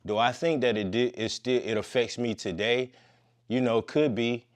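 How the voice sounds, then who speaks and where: A man's voice: medium pitch, steady tone, moderate pace. A man in his fifties talking as a podcast guest in a laid-back, slangy, long-winded way. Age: 50s. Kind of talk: casual conversation.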